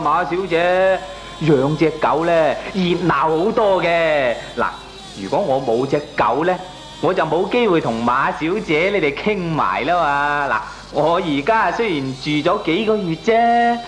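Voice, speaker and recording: An actor playing a shameless, glib dog owner in a radio comedy drama, arguing back with a Hong Kong Cantonese accent. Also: male voice, a little high, expressive, unhurried, soft background music. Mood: glib, jovial. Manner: glib, chuckling, argues back with lame excuses. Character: shameless, jovial dog-owning male neighbour brushing off complaints.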